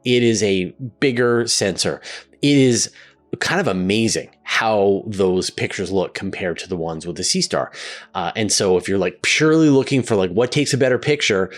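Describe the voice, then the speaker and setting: A man hosting a science show, explaining things to viewers in a casual, conversational way. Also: fairly low pitch. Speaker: host of an astronomy Q&A show.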